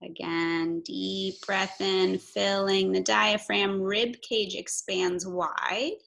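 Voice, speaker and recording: A woman yoga instructor giving soothing, measured breathing and movement cues with audible breaths. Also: mid-range voice, fairly even intonation, creaky, a bit bright, a little hiss or hum.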